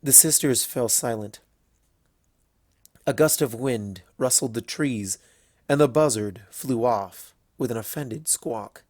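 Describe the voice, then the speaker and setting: A man reading scripted fiction as a narrator, in a measured and deliberate way. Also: mid-range voice, darkish tone, no room sound, clean studio sound. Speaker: audiobook-style fanfiction narrator.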